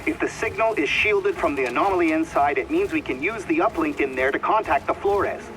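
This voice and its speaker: A man's voice: on the high side, expressive, brisk, noisy background, natural voice. An actor playing a thoughtful man in a video game, reasoning things out aloud. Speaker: game character. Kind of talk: video game cutscene acting. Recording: distant voice under loud game music.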